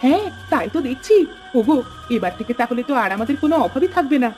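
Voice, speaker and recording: A tender, excitable farmer's wife from a village cartoon, voiced by a woman. She speaks high and fast in an expressive way, with faint music underneath. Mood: wondering. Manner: expressive, exclaiming. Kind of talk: cartoon voice acting.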